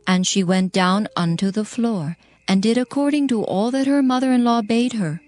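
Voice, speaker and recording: Mid-pitched woman narrating scripture. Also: normal speed, a little mellow, soft background music.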